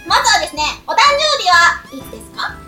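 Very high girlish voice, woman, bubbly radio host, high energy, roomy sound, music behind. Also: big pitch swings, quick pace, bright, forceful tone, recorded from a distance. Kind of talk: excitable radio talk show hosting.

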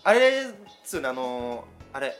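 A woman's voice: extremely low pitch, sing-song, unhurried. A woman hosting a casual radio interview, teasing and jokey, often echoing what the guest says. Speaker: radio show host. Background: music.

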